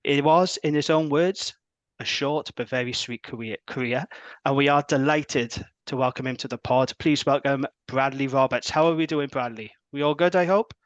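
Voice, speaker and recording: A man's voice: mid-range voice, moderate pace, no echo. A man hosting a podcast, chatty and enthusiastic as he introduces the show and his guest. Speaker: podcast host.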